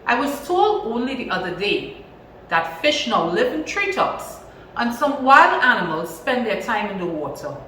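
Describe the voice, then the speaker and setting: A woman's voice: on the high side, big pitch swings, unhurried, bright, forceful tone, pitched above the performer's own voice, heavily acted. A woman with a Trinidadian accent voicing a crafty, cheerful old village husband in a storybook, theatrically and slyly. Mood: feigned innocence. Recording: echoey room, distant mic, faint noise.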